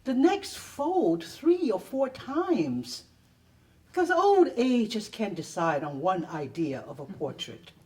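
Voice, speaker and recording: Woman reading poetry slowly, dramatic swings. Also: medium pitch, sing-song, mellow, recorded from a distance, a little hiss or hum. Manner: deliberate, theatrical phrasing with pauses. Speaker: performer reading own poetry. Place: live reading at a public library event.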